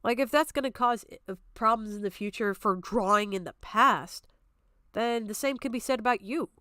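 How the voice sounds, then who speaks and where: A woman's voice: a little high, moderate pace, bright, forceful tone, dry recording, studio quality. A woman giving off-the-cuff, argumentative stream commentary in a blunt, exasperated, sweary manner. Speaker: streamer reacting to a video.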